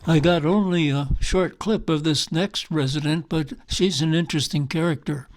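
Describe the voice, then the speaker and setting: A man narrating local history as a storyteller, in measured phrases. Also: a little high, steady tone. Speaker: tour narrator.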